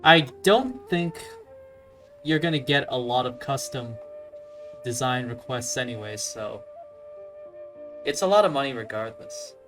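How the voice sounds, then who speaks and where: Male let's player: mid-pitched, bright tone, casual slangy think-aloud delivery, light music in the background. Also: expressive, a little slow, long pauses. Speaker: let's play host. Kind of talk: casual game commentary.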